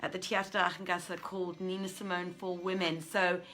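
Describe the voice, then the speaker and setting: A woman's voice: fairly low pitch, flat delivery, moderate pace, fairly bright tone, a little hiss or hum. A woman chatting casually and effusively as the host of an online story reading, speaking as a theatre director. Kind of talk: casual livestream chat.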